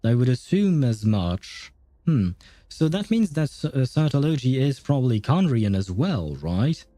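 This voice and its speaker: A man's voice: mid-range voice, sing-song, unhurried, dark tone, dry recording. A man hosting a game livestream, giving casual, rambling commentary as he thinks aloud. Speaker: livestream host.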